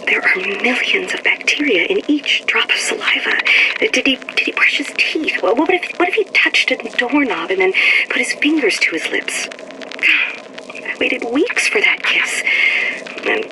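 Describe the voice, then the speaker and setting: A woman's voice: fairly high pitch, measured pace. Jittery, germ-obsessed young woman. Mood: anxious. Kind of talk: game audio log. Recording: audio log with steady background noise.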